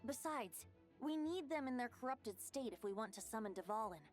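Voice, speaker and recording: High boyish bard, voiced by a woman. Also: expressive, normal speed, faint music behind, lightly acted. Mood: grave, thoughtful. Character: youthful boyish male wind bard, breezy yet thoughtful. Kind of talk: video game voice acting.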